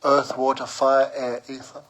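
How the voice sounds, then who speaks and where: Männlicher spiritueller Lehrer, mittlere Stimmlage. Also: male voice, mid-range voice, flat delivery, measured pace, fairly bright tone, low-quality recording. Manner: didactic, listing point by point, with check questions. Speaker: religious teacher. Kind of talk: spiritual class taught in short phrases with pauses for an interpreter.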